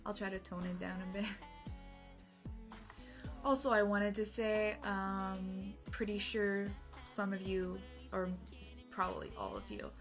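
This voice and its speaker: A woman's voice: medium pitch, flat delivery, unhurried, pauses often, soft background music. A young woman from French Canada with a French Canadian accent, French her first language, telling a personal story to camera in a rambling way, full of fillers and restarts, moving about as she talks. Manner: moves around while talking. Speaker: vlogger.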